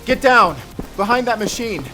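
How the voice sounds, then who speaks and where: A man's voice: very high voice, moderate pace. An actor playing an earnest, protective young man in a cinematic action audio drama, emotional and urgent. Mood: urgent, frightened. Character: earnest, protective young man fighting beside his injured lover. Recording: dramatic music behind the voice.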